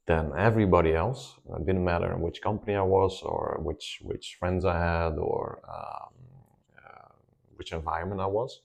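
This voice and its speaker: A deep-voiced man talks through a casual interview. His low voice carries a gravelly, creaky edge. He pauses often, restarting sentences with ums. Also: a little mellow. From Netherlands. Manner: hesitant, many ums and restarts. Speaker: guest.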